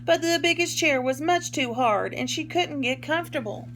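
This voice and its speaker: Higher-voiced woman reading aloud to children. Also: animated, moderate pace, a bit bright, slight background noise. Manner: explains words simply and animatedly to children. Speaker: teacher reading a picture book aloud. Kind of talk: expressive read-aloud for young children with explanations.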